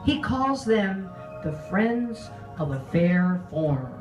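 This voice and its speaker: A woman acting as a coy, genteel housewife from an old radio commercial, slow and very melodic. She sounds prim and smug in an echoey room with music behind. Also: lowish voice, big pitch swings, recorded from a distance. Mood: cheerful selling. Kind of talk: old-time radio advert parody.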